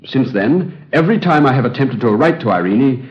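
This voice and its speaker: Casting: male actor as haunted, earnest English scholar, formal and agonized, widely swinging pitch, dull vintage radio sound. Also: expressive, fairly quick, close to an everyday voice. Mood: intense, uneasy. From England. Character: troubled, earnest English Egyptologist haunted by the past. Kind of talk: old-time radio drama acting. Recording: dull, band-limited old radio recording.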